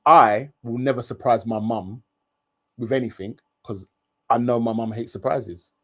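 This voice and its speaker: A man's voice: mid-range voice, steady tone, measured pace. A man hosting a casual live stream and reading viewer comments aloud. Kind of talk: casual chat reviewing a reality show.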